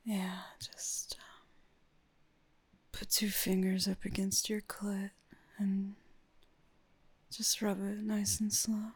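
Young woman whispering slow and breathy, talking right to you, lots of sighs. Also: mid-range voice, little pitch movement, a little mellow.

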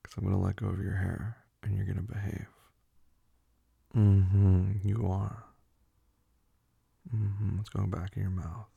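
A man playing a dominant, teasing lover in an intimate audio roleplay, murmuring in a breathy, husky voice that often drops to a whisper. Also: fairly low pitch, animated, no room sound, dull audio, exaggerated voice. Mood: teasing, commanding. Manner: slow, murmured, commanding, with soft hums.

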